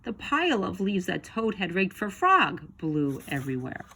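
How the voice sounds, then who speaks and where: A woman reading a children's story aloud with expressive narration. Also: lowish voice, normal speed, a little hiss or hum. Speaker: storybook reader.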